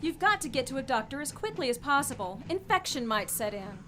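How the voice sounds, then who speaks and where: A devoted, anxious companion, played by a woman in a radio play. Her voice is high and bright, worried and pleading. A faint hiss sits under the recording. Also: big pitch swings, brisk, full character voice. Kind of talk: amateur radio play acting.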